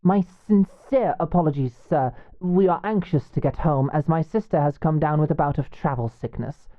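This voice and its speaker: A woman's voice: low voice, normal speed, narrow, tinny audio, lightly acted. A woman playing a nervous male con man who poses as a physician in a medieval fantasy audio drama, polite, flustered and apologetic. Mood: placating.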